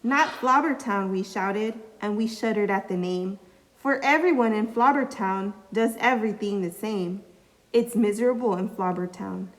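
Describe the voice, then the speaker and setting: A woman's voice: medium pitch, normal speed. A woman reading a children's story aloud in a reverberant space. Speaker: school staff member reading aloud. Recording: long hall-like reverb.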